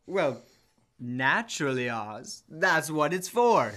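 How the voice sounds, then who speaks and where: Jovial next-door neighbor played by a young male actor, old-time radio comedy, leisurely pace, bright tone, playful and folksy. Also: on the high side, sing-song, slow, exaggerated voice. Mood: chatty. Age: teens to young adult. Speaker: student actor. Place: school library, indoors, live stage reading.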